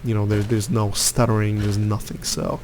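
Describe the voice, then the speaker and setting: A man's voice: fairly low pitch, level tone, normal speed, darkish tone, a little hiss or hum, rough recording. A young man from Lithuania giving rambling, opinionated commentary over his gameplay, with lots of fillers. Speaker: gaming youtuber.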